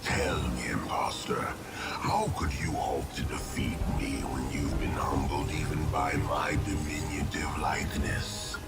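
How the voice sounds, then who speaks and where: A demon lord of swarms, extremely deep and slow, with a menacing breathy rasp. He is haughty and taunting, a video game villain with loud music behind him. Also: fairly even intonation. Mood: scornful taunting. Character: huge, contemptuous male demon lord of swarms.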